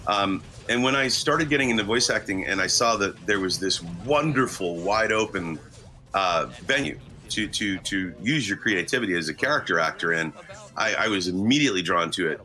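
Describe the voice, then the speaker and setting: A middle-aged man answers interview questions at length. His voice is fairly low yet sharp and forceful, with an earnest, halting, emphatic delivery. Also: animated. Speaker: guest voice actor.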